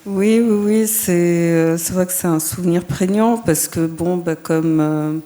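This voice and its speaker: A woman from France with a slightly low voice speaks as a guest on a public panel. She answers carefully and deliberately, often pausing to hesitate as she works through her ideas. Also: mellow. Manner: thoughtful, deliberate, frequent hesitations. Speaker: guest, filmmaker and writer.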